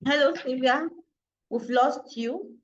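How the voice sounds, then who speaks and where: A woman's voice: high voice, takes it slowly. A woman moderating an online session, polite and hesitant, with many ums. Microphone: video call. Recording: dull, roomy video-call audio.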